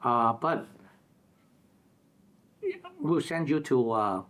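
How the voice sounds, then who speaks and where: A man's voice: medium pitch, drawn-out words, fairly bright tone. A man from Hong Kong with a Hong Kong English accent, earnestly telling a personal story in an interview. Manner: earnest, repeats words as he tells stories. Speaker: interview co-guest.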